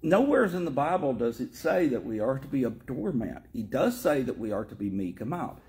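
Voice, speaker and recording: Man, Tennessee accent, pastor, joking, lively sing-song pitch, casual chat. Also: fairly quick. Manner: animated, joking, self-mocking.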